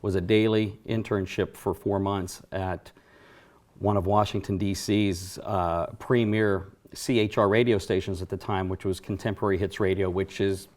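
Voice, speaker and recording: Middle-aged male guest, low voice, rambling storyteller, studio interview. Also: steady tone, normal speed. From Johnstown, Pennsylvania area. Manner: reminiscing, warm storyteller with fillers and self-corrections. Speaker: guest, racing announcer. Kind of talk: casual interview chat.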